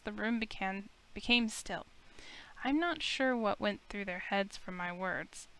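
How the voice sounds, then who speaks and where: Woman, medium pitch, clean resonant tone, first-person story reading, visual novel narrator. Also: a bit bright, smooth, rough recording. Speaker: game player reading a visual novel aloud.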